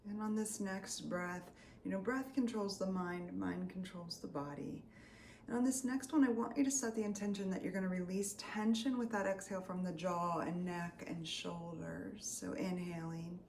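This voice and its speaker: A woman leading a guided meditation as a Reiki healer, soothing and unscripted, often drifting into breathy near-whispers. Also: lowish voice, normal speed, a little mellow. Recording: roomy echo, faint background noise.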